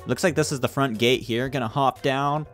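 A young man chatting casually and excitably with friends as he hosts a gameplay video. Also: medium pitch, normal speed. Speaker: gaming video host. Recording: game music behind the voice.

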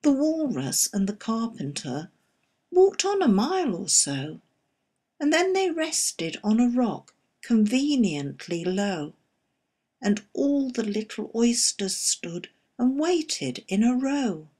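A woman expressively reciting a narrative poem in a measured storytelling manner, with a slightly husky voice. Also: on the high side, very animated, dark tone. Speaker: poetry reader.

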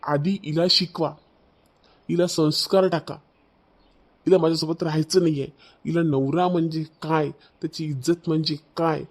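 A man's voice: a little high, darkish tone, lightly acted. A man voicing an aggrieved, proud young husband in a cartoon family drama, accusing and ranting, with a Vidarbha Marathi accent. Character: aggrieved, proud young husband complaining about his wife.

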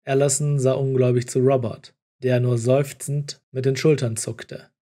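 A man's voice: mid-range voice, little pitch movement, moderate pace, warm tone. A man reading a scripted story as an audiobook narrator.

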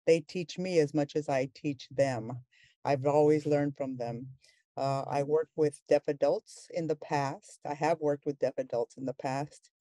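A sign language interpreter voicing a panelist's words on a video call, halting and full of fillers and restarts. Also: medium pitch, steady tone, mellow, no room sound.

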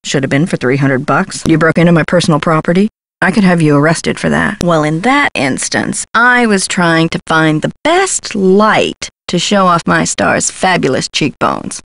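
A woman's voice: deep voice, lively intonation, moderate pace, vocal fry, a bit bright, dry recording, exaggerated voice. A woman voicing a brash, scheming Hollywood talent agent in a video game, glib and condescending, with slangy talk. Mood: defensive, glib, then dismissive, irritated.

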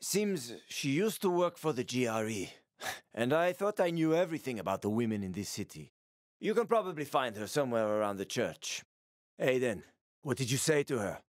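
A man's voice: mid-range voice, sing-song, moderate pace, lightly acted. A wry, weary survivor from a video game, acted by a man, with a mid-range male voice. He talks casually and sardonically, like someone who has seen too much. The sound is very dry, free of room echo. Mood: wry, subdued. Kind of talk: video game character dialogue.

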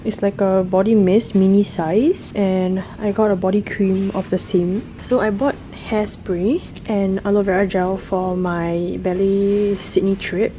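Young woman vlogging over clear background noise. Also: mid-range voice, steady tone, a little slow, hardly any pauses, dark tone. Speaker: travel vlogger. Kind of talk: casual vlog chat to viewers. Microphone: handheld camera at arm's length.

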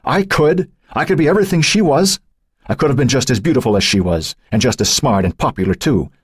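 A man reading the part of a fearful, troubled woman under questioning, halting and husky. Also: fairly low pitch, sing-song, dry recording, studio quality, pitched above the performer's own voice. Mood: angry. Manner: halting, pleading, turning angry.

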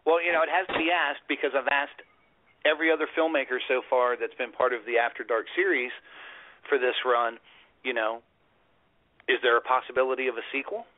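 Excitable male podcast host, tinny sound. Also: medium pitch, a little fast. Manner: enthusiastic, swears, laughs along. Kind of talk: horror podcast interview. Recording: tinny phone-like audio.

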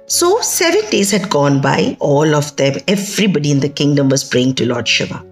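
A middle-aged woman with an Indian accent telling a folktale in an expressive, emphatic way, with conversational asides. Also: sits low, moderate pace. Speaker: storyteller. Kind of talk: dramatic oral folktale storytelling. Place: indoors, small plain room. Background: music.

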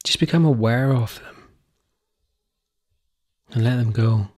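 A man narrating a guided meditation, giving gentle, unhurried instructions in short phrases with pauses between them. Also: mid-range voice, breathy edge.

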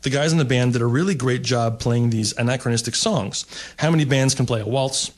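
A man's voice: medium pitch, brisk. Wry man telling a rambling comic story. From United States. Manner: wry, rambling with fillers, mock-snobbish asides. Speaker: stand-up comedian. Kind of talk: stand-up storytelling. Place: seated at a table, indoor stage.

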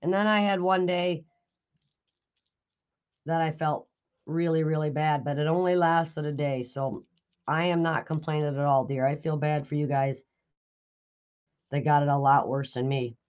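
A raspy, hoarse woman hosting a live craft tutorial, chatting with viewers and answering their comments while she works. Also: sits low, steady tone, measured pace, pauses often, a little mellow, lo-fi sound. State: hoarse after illness. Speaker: craft video host. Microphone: overhead camera on a craft table.

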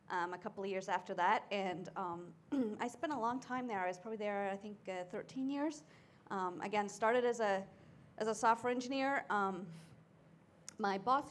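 A middle-aged woman speaking at a conference panel as a tech executive, talking in a relaxed way with many filler ums. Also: mid-range voice, a little hiss or hum. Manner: conversational, frequent filler ums. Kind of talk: panel discussion. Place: conference stage seated in armchair.

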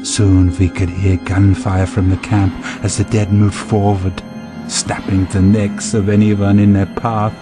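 Haunted wartime soldier played by a man: slow, flat, solemn confession, music underneath. Audio drama. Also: lowish voice, close to an everyday voice. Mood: haunted, despairing. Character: weary, haunted male soldier praying in despair. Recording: music behind the monologue.

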